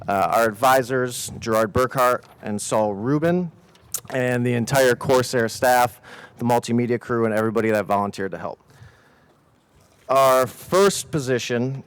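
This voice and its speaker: A man's voice: mid-range voice, clear, resonant tone, no room sound. A man moderating a student election debate, reading rules and roles aloud with the odd filler. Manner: reads roles out evenly, with some "uh" fillers. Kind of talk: formal announcements.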